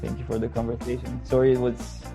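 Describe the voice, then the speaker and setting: A man's voice: mid-range voice, monotone, fast talker, gravelly, music in the background. A man from Bulgaria speaking casually as an interview guest, hesitantly searching for words. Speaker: podcast guest, literary translator.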